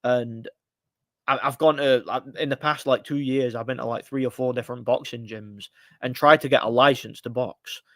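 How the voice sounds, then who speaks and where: A man's voice: mid-range voice, fairly even intonation, normal speed, fairly bright tone, no echo. Male, 30s, stream host; blunt, frustrated, lots of restarts, very dry mic. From United Kingdom. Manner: rambling, frequent restarts and fillers. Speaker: livestream host. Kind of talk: casual live-stream boxing chat.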